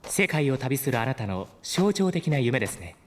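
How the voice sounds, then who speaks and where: A man playing a polite, caring artificial intelligence with formal, measured speech in a Japanese audio drama. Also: medium pitch, sing-song, lightly acted. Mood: reassuring, explanatory.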